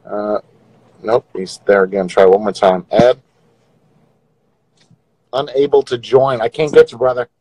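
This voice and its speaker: Joking livestream host, dry close sound. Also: male voice, fairly low pitch, mellow, slightly rough, no room sound, rough recording. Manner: joking, teasing and crude. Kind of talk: casual livestream chat with viewers.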